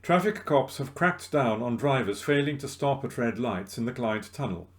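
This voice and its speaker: A man's voice: mid-range voice, moderate pace. A man reading local news articles aloud as a volunteer reader, recorded at home.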